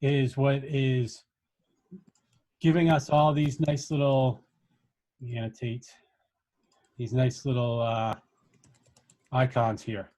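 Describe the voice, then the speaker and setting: Male workshop instructor, mid-pitched, informal and halting, walking learners through software step by step. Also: level tone. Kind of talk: casual screen-share coding tutorial.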